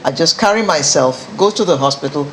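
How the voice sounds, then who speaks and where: A woman's voice: low-pitched, animated, brisk, background noise. Teasing woman co-host. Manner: teasing, playfully skeptical. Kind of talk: studio discussion.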